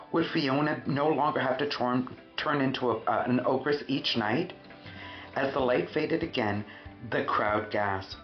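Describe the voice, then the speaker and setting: A man reading a children's storybook expressively, with dramatic emphasis and drawn-out words. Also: mid-range voice. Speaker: storyteller, host of a read-aloud show. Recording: roomy, muffled sound with faint music.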